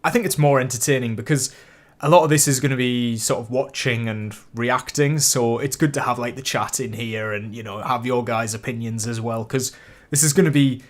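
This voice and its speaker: A man's voice: mid-range voice, steady tone, moderate pace. A man hosting a casual live stream, chatty and rambling while getting over a cold with a cough and sniffle. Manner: chatty and rambling, with many fillers and restarts. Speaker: YouTube host streaming a live reaction.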